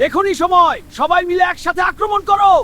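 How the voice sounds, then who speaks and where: A man's voice: extremely high pitch, brisk, sharp tone. A man voicing a small, plucky, boastful carrot hero in a children's cartoon. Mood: triumphant. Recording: music behind the voice.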